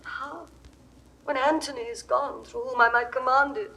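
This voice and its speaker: An actress playing a jealous, commanding queen of Egypt in a 1960s studio radio play, firing sharp questions. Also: high voice, steady tone, normal speed, gravelly, exaggerated voice, rough recording. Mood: imperious. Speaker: Cleopatra.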